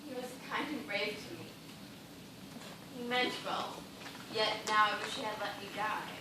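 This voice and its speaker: A woman's voice: mid-range voice, measured pace, natural voice. An actress playing a distraught, feverish female dancer castaway in an amateur stage play, with impassioned theatrical delivery. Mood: subdued, bleak. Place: theatre stage, recorded from audience. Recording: very echoey stage, distant, noisy.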